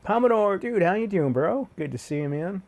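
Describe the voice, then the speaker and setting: A man's voice: high-pitched, animated, a little fast, gravelly, a little mellow. Congested man chatting on a live stream. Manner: laid-back, slangy, says dude and man a lot. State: congested from a cold, sniffly. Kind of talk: casual live stream chat, greeting viewers.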